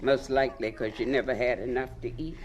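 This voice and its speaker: A woman's voice: extremely low pitch, steady tone, quick pace. An actress playing a weary, anxious enslaved mother with a Southern American accent, emotional and tearful, in a radio drama. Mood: weary, tender.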